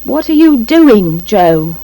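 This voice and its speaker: Woman doing a timid, henpecked husband, animated pitch. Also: takes it slowly, no echo, exaggerated voice, rough recording. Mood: curious. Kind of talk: dramatised storybook reading.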